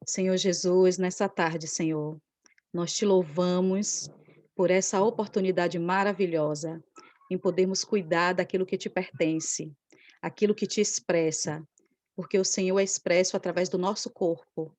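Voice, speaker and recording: A woman's voice: fairly low pitch, fairly even intonation, moderate pace, a little mellow, dry recording. A woman with a Brazilian accent leading a devotional spoken prayer for a church group.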